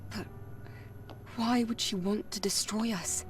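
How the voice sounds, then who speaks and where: A woman's voice: mid-range voice, takes it slowly, close to an everyday voice. Woman playing earnest young fighter heroine in a game, midrange voice, bit far off, music behind. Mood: worried. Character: earnest, determined young female warrior. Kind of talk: video game character acting.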